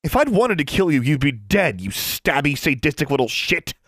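A man's voice: a little high, very animated, fairly bright tone, no room sound, studio quality, voice pushed up. A man doing a fierce young witch. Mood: furious. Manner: cutting, quick retorts. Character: young witch woman, fierce and sharp-tongued.

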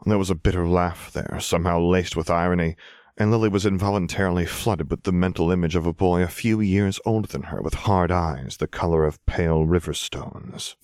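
A man reading a scripted story as narrator, in a measured, expressive way. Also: deep voice, clear, resonant tone, dry recording, clean studio sound. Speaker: audiobook narrator.

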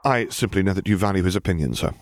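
A man's voice: low-pitched, fast, slight background noise, close to an everyday voice. A man voicing a nervous but stubborn naval admiral, deferential and cautiously defiant, in an audiobook story. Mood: polite reasoning.

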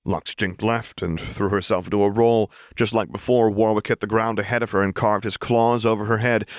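A man reading an audiobook, narrating dramatic fiction in a measured storyteller's delivery. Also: lowish voice, steady tone, dry recording, studio quality.

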